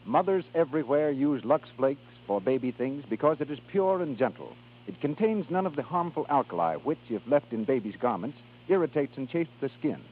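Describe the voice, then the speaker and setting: A tinny 1930s radio recording features a male commercial announcer. His scripted delivery is polished and emphatic, with lively intonation and a husky edge to the voice. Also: mid-range voice, brisk.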